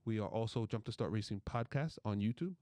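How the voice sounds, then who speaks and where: A man's voice: lowish voice, fast, warm tone, no echo, studio quality. A man hosting a casual sports podcast with friends, talking in a playful, bantering way. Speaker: podcast host.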